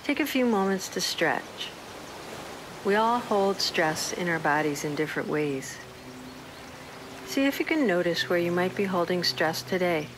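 Soft-voiced woman guiding a meditation. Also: on the low side, lively intonation, background noise. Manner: slow, soothing, gentle pauses. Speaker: meditation guide. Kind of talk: guided meditation narration.

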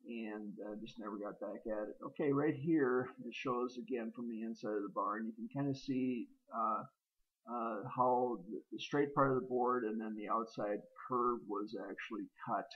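A man's voice: mid-range voice, moderate pace, phone-quality sound. A middle-aged man narrating a casual walkthrough of his old barn, hesitant and rambling with many ums and uhs, recorded on a handheld camera outdoors and inside the barn. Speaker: homesteader filming his barn.

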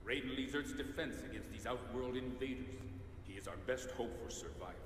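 An actor playing a calm, earnest shaman warrior in a video game, speaking formally and with composure. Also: mid-range voice, brisk, natural voice. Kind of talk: video game cutscene acting. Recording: echoey hall, faint noise.

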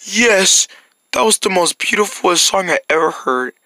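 A woman voicing a grumpy man neighbor in a homemade kids' story, speaking in a lowered, gruff voice. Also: expressive, unhurried, pushed and bright, dry recording. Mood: touched.

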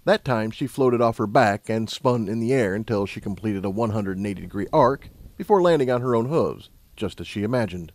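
A man narrating a scripted story as an audiobook narrator, in a measured, dramatic storytelling manner. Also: on the low side, animated, a little mellow.

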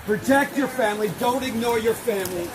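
Older man pleading earnestly, high voice. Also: a little fast, background noise. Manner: earnest, repetitive imploring. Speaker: street protester. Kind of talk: pleading street appeal. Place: city sidewalk outdoors.

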